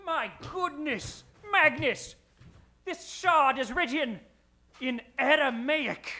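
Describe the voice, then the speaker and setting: A man's voice: very high voice, slow pace, bright, forceful tone, exaggerated voice. A man playing a fussy, solemn professor-priest in an old-time radio comedy, theatrical and portentous.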